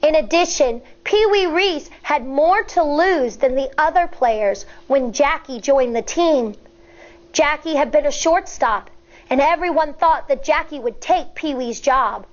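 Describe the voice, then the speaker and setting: A woman with a high voice reads aloud like an elementary school teacher to young listeners. Her delivery is expressive and deliberate, shaped for storytelling and explanation. The recording sounds slightly dull and lo-fi. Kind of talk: children's read-aloud with teaching asides.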